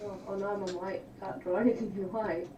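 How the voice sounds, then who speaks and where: A woman's voice: mid-range voice, fairly even intonation, fast talker. A woman chatting casually and playfully as she takes part in an online game, speaking from a roomy space. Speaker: participant in a livestream game. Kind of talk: casual chat. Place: echoey room on an online call. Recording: roomy, distant microphone with clear noise.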